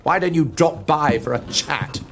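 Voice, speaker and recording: Gravelly, sardonic army commander. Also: male voice, on the high side, moderate pace, fairly bright tone, slight background noise. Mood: resigned, mocking. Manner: sardonic, condescending. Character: cynical, commanding male army officer, dismissive and sardonic. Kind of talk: dramatic video game acting.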